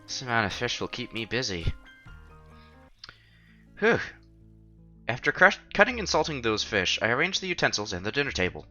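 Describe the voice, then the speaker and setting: A man streaming a visual novel, reading the story aloud with casual, playful, expressive commentary. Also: fairly low pitch. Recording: dull lo-fi sound, music behind.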